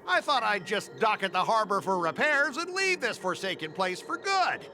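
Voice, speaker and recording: Quarrelsome cargo boss, very high and swooping, game score behind. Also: male voice, sing-song, normal speed, pushed and bright, exaggerated voice. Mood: grumbling. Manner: indignant, complaining, snappish. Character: quarrelsome male cargo-ship manager, abrasive and petty. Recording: game dialogue over music. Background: game music.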